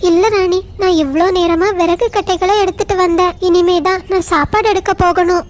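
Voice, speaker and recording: A woman's voice: extremely high pitch, level tone, normal speed, pitched above the performer's own voice. A woman voicing a small, hardworking young sparrow in a moral cartoon, with an earnest, chirpy manner.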